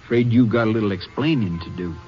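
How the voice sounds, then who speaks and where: A man's voice: lowish voice, fast talker, soft background music, natural voice. A man playing an easygoing small-town Texas sheriff with an American accent and neighborly, folksy manner in a 1950s radio drama. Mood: neighborly, reluctant. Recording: noisy, muffled old 1950s radio recording.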